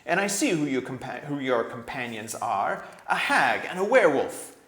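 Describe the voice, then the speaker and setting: Casting: male reader playing a noble young king; thunderous, declamatory, outraged, with animated pitch. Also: normal speed, reverberant. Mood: thunderous outrage. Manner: indignant, forceful, declamatory.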